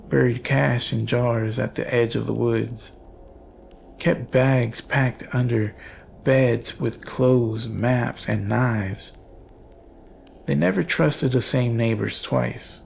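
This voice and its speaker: A man reading scripted horror narration in a grave, measured, ominous manner. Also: mid-range voice, creaky, darkish tone, lo-fi sound, slight background noise.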